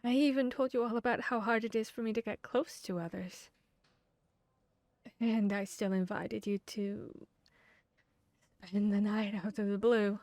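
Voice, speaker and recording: A woman playing a guarded, bashful vampire hunter in an intimate ASMR roleplay, speaking earnestly and haltingly. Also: mid-range voice, darkish tone, dry recording, lightly acted. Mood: ashamed, apologetic. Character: guarded, bashful female vampire hunter confessing tender feelings. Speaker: audio roleplay voice actor.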